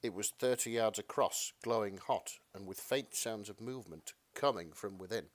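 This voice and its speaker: A man's voice: lowish voice, moderate pace. A man co-hosting a podcast in casual chat, agreeable and given to conversational asides. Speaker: podcast co-host.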